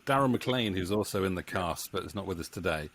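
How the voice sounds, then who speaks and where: A man with a deep voice moderates a casual chat from a home office, close to the microphone. He speaks haltingly, often pausing and circling back mid-sentence as he hosts. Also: animated, a bit bright, slight background noise. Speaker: interview host, audio drama maker. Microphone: studio mic on boom arm, close.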